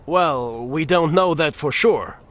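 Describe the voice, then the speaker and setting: High-voiced, deferential soldier. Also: male voice, slow, a bit bright. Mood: uneasy. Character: uneasy, deferential soldier reporting to his commander.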